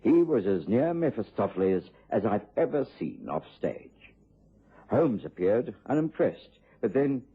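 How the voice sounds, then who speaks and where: A genteel, old-fashioned English doctor in a radio play. His voice is husky and fairly low, with wide, animated swings of pitch, and the recording is narrow and tinny. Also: a little slow, heavily acted. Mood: measured narration. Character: genteel, easily shocked English doctor, loyal friend of a detective. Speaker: actor playing narrator and sidekick in radio drama. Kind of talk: BBC radio drama acting.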